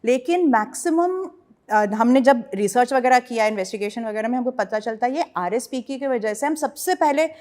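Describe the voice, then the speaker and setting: Woman, Indian accent, fairly high voice, podcast guest, conversational explainer, Hindi-English code-switching. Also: expressive, moderate pace, darkish tone. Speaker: paranormal investigator, podcast guest.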